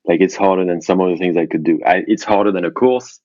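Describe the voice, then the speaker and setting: A man talking casually and candidly as a podcast guest on a phone call, in a halting way with repeated restarts. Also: deep voice, fairly even intonation, a little mellow, no echo. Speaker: podcast guest, indie software founder. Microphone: remote phone call. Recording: dull, dry phone-call audio.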